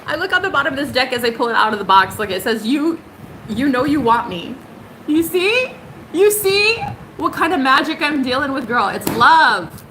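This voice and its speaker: A young woman hosting a casual tarot livestream, sassy and emphatic, jumping high in pitch for punchlines. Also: expressive, normal speed, pushed and bright, a little hiss or hum, low-quality recording.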